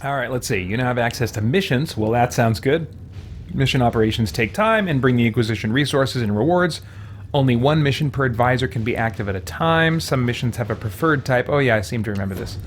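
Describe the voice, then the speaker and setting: A man's voice: medium pitch, a little fast. A man hosting a video game let's play, thinking aloud in casual, conversational commentary. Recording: faint background noise.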